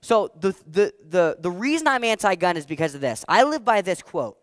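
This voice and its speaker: A fourteen-year-old boy giving a motivational talk on stage, energetic and emphatic, repeating his key phrases. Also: high voice, normal speed, no room sound, studio quality. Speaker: motivational speaker. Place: stage before a live audience.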